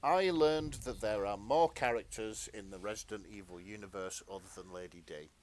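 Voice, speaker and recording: A man co-hosting a podcast in casual chat, with a hesitant, halting delivery. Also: fairly low pitch, slight background noise. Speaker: podcast co-host.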